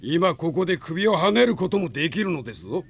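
An actor playing an arrogant, sneering army captain and former knight in a Japanese radio drama, haughty and mockingly polite. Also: male voice, fairly high pitch, sing-song, a little fast, creaky, slightly rough, full character voice. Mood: angry, threatening.

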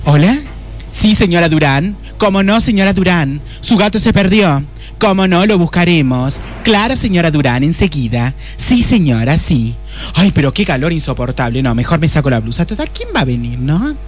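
A man's voice: on the high side, animated, moderate pace, soft background music, natural voice. A man playing a chatty, cheeky female secretary with an Argentine accent in a comic radio play, full of colloquial complaints and exclamations. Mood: phone chatter, then complaining.

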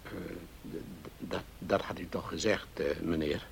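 A humble working-class ferryman in a radio play. The voice is rough and somewhat low, with a halting, deferential manner and an aggrieved, plain-spoken air. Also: steady tone, heavily acted. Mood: hesitant, hopeful. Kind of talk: Dutch radio play acting.

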